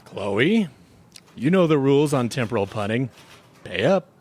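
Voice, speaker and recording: A man's voice: mid-range voice, big pitch swings, measured pace, noisy background. A man hosting a comedy podcast in role as an investigator, with a mock-stern, theatrical manner. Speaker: podcast host. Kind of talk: comic role-play as investigator.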